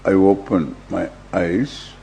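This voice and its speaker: An older man with an Indian accent guiding a meditation as a teacher, in measured short phrases with long pauses. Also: low voice, creaky, dark tone. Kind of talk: guided meditation, slow instruction. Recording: dull lo-fi recording with faint hum.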